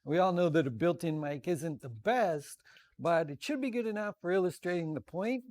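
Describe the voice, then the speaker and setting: A man giving an informal, halting walk-around tech demonstration, thinking aloud as he goes. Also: a little high, animated, a little mellow. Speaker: presenter of a tech demo. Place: makerspace woodworking and tool shop. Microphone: wireless lavalier, sometimes built-in computer mic. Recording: wireless lav in noisy workshop.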